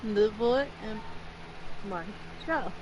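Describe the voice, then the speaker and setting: A woman's voice: on the high side, slow, lo-fi sound, background noise. A woman chatting casually as the companion on a gaming stream. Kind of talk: casual chat.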